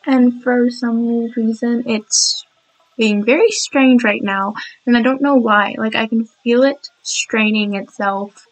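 Young woman narrating her build, a bit hoarse, chatty and giggly, higher voice. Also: fairly even intonation, slow, poor audio. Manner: chatty, giggly, apologetic, many restarts. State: sore throat, voice cracking. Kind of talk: rambling game voiceover.

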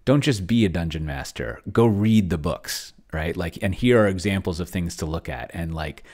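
Middle-aged male host, deep register, loose unscripted explainer delivery, offhand and digressive. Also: normal speed, darkish tone. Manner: rambling, self-interrupting, offhand. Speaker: host of a tabletop roleplaying tech channel. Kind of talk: casual unscripted screen-share walkthrough. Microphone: desk microphone close to the mouth.

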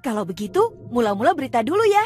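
A woman voicing a clumsy, excitable cartoon schoolboy who is boastful, whiny and easily flustered. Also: fairly high pitch, quick pace. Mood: showy, boastful. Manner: whiny, exclamatory. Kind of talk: animated cartoon dub acting. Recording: music behind the voice.

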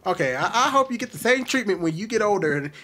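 A man hosting a casual video-call chat, teasing and blunt in an animated way. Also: high-pitched, fast talker. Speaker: commentary channel host.

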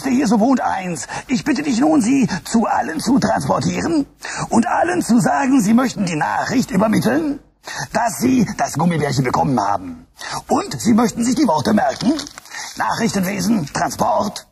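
A man voicing an excitable furry puppet who hosts a children's show in a radio play. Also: high voice, normal speed, heavily acted. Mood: chatty. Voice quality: gravelly, exuberant, sing-song. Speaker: show host within the play.

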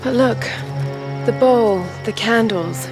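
A woman's voice: fairly high pitch, takes it slowly, over music. A woman acting a young, earnest, determined explorer in a video game cutscene, easily alarmed. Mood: curious, serious. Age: young adult.

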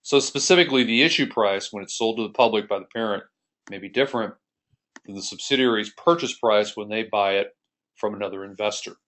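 A man's voice: fairly low pitch, a little fast, a bit bright. An American man giving an explanatory screencast lesson as an accounting instructor, explaining methodically and step by step.

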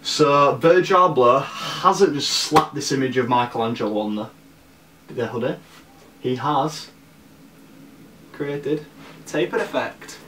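A teenage man with a Leeds accent gives an informal review to camera at an unhurried, slow pace. His delivery is halting, with repeated words. He sounds distant from the mic in an echoey room. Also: medium pitch, a bit bright, slight background noise. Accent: Leeds, Northern English. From Leeds, northern England. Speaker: fashion vlogger. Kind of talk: casual product review to camera. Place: small bare room indoors.

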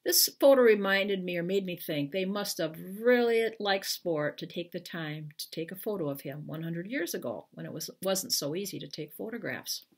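An older American woman, a children's author, speaking to viewers at home in an expressive, unhurried storytelling manner. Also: on the low side. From Iowa, United States. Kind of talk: picture book read-aloud and informal talk to viewers. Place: living room at home, indoors. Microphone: webcam at arm's length.

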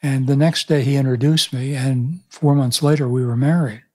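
A man's voice: medium pitch, steady tone, moderate pace, darkish tone, husky. An older man in his seventies, a pastor and author, telling stories thoughtfully in an interview, often restarting his sentences. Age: 70s. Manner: thoughtful storytelling with frequent restarts. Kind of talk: reflective interview conversation. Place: small room, indoors. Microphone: studio microphone on boom arm.

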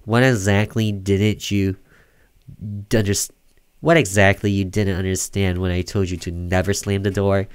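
A man's voice: low-pitched, little pitch movement, measured pace, clean tone, dry recording. A man voicing a strict, cold mother who scolds her child in a horror visual novel. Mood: cold, stern. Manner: stern, chastising, questioning.

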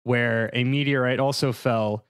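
A man's voice: medium pitch, fairly even intonation, unhurried, clear, resonant tone. Man hosting a playful panel chat. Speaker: podcast host. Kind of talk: casual panel discussion.

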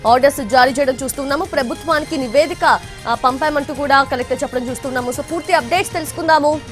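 Woman, news anchor, high pitch, fast delivery, faint background music. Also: a bit bright. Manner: brisk, mixes in English words.

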